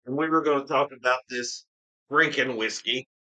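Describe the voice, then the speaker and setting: A man's voice: medium pitch, steady tone, normal speed, sharp tone, low-quality recording. A man hosting a bourbon tasting live stream, chatting eagerly and rambling through his stories. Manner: enthusiastic, rambling storyteller. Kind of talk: casual live-stream banter.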